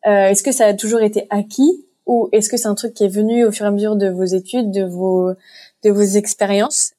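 A woman's voice: mid-range voice, flat delivery, a little fast, mellow. A woman from France hosting a podcast, asking curious, open questions. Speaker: podcast host. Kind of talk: interview questions.

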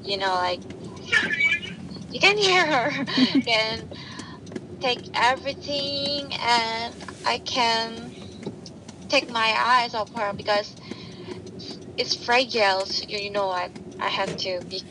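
A woman in her thirties from Indonesia with an Indonesian accent, speaking halting non-native English with restarts as an interview guest. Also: on the high side. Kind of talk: casual interview. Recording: loud traffic noise behind.

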